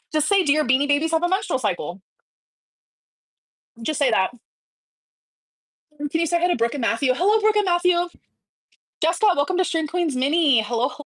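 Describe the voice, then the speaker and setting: A woman's voice: sits high, no echo. A woman chatting casually on a livestream, gossipy and sassy, often breaking off mid-thought to answer viewers.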